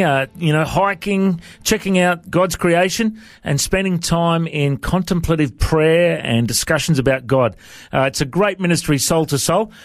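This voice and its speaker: A man hosts a radio interview in a relaxed, chatty way, often pausing with "uh" and "you know". His voice sits slightly high and sounds close and dry, with no room around it. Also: no room sound. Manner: friendly, informal, with frequent fillers. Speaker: radio show host. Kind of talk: conversational interview.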